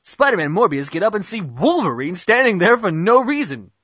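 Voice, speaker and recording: A man's voice: high voice, very animated, a little fast, fairly bright tone, slightly rough, no room sound. A young man giving an amateur mock-dramatic narration of a cartoon parody, hammy and stumbling over words, on a muffled internet call. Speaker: narrator in a group script reading.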